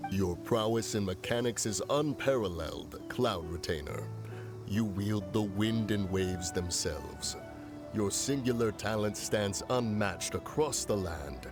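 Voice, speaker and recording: A man playing a solemn, respectful ancient immortal in a fantasy game, speaking formally and gravely. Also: fairly low pitch, unhurried, natural voice. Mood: reverent. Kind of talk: fantasy game cutscene acting. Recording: music behind.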